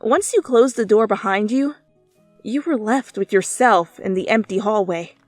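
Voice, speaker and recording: A woman reading fan fiction aloud as an expressive, theatrical second-person narration. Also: medium pitch, normal speed, dry recording. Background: music.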